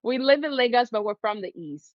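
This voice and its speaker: A woman's voice: a little high, lively intonation, a little fast, dry recording, lo-fi sound. A woman from Nigeria, a physician, speaking earnestly and reflectively as a podcast guest. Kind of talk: podcast interview.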